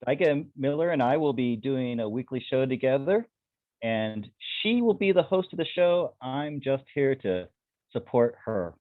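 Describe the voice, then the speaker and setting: A man opening his own channel's show and handing over to the new host in a welcoming, low-key way. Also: lively intonation, measured pace. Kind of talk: show introduction. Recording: tinny phone-like line.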